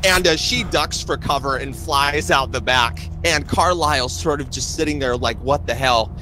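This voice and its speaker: A man narrating a tabletop roleplaying game as game master, in a casual, halting, improvised way. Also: fairly high pitch. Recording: clearly noisy live stream.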